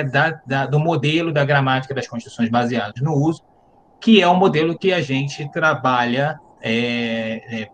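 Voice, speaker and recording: A man's voice: medium pitch, a bit bright, a little hiss or hum. A man, a university professor, giving an academic conference talk, explaining step by step while thinking aloud with frequent hesitant restarts, recorded on a computer mic during a video call.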